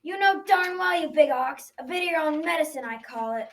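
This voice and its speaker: A woman's voice: high voice, expressive, a little fast, a bit bright. A school-age girl playing a cruel, bickering fairy-tale giant in an amateur radio drama, scolding and bossy. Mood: furious. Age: child about 12 to 13. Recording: distant mic, faint noise.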